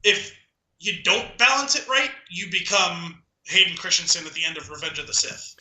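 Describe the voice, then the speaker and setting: Man, high voice, very bright and forceful tone, echoey room, casual opinionated podcast chat. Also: animated, moderate pace. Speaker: podcast co-host. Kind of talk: casual opinionated film discussion. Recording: echoey, roomy sound.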